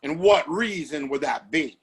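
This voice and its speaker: Male actor as domineering Western patriarch for audio play: self-righteous, formal, very animated, husky. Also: a little high, measured pace, full character voice. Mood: stern, scornful. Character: pompous, domineering father who runs a Western town. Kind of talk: Western audio drama acting.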